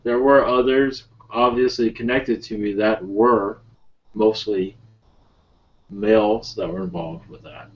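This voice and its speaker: A man voicing an ancient otherworldly spirit guide in a calm, solemn, halting manner with measured, broken phrasing. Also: lowish voice. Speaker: channeler leading a webinar session. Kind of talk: slow improvised channeled speech. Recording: muffled lo-fi webinar call audio.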